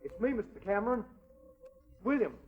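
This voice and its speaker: Radio drama constable: very high, swooping delivery, eager, deferential, muffled old broadcast. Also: male voice, very animated, normal speed, very noisy. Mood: eager, puzzled. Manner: deferential, colorful reporting. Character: eager, puzzled working-class policeman reporting to superior. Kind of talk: 1940s radio drama acting.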